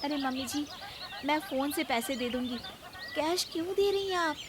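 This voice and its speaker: Woman acting a high-voiced, nagging young daughter-in-law, noisy recording. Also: animated. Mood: insistent. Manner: pleading, insistent, quick to retort. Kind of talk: acted children's cartoon story.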